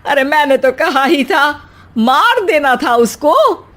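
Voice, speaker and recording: A woman's voice: high voice, big pitch swings, slow pace, a bit bright, exaggerated voice. A woman voicing an exasperated, sarcastic middle-aged mother-in-law in a cartoon comedy, scolding in a wry, colloquial way.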